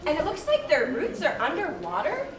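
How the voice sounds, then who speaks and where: A woman's voice: sits high, huge pitch swings, moderate pace. A woman playing a curious, eager young female naturalist who observes with wonder in a children's puppet show. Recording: roomy distant live recording with clear noise.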